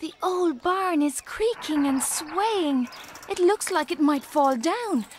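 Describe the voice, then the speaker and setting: Narrator doing little whispery girl, high and slow. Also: expressive, slight background noise. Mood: worried. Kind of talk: storybook character voice.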